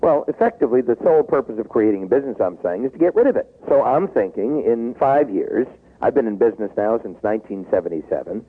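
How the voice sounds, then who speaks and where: Animated sixty-year-old man on a tinny line. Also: fast, slightly rough. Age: 60s. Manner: animated, acts out quoted pleas, drops low when reflective. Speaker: business author guest. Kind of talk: taped interview. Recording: tinny phone-like tape.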